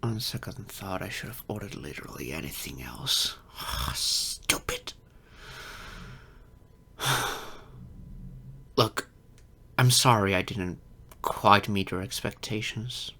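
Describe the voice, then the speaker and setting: A man's voice: low-pitched, slow, vocal fry, pauses often, pushed and bright, husky, heavily acted. A man playing an insecure, geeky adult silver fox in an audio roleplay, hesitant, stammering and self-deprecating. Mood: dejected, apologetic. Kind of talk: ASMR-style audio roleplay acting.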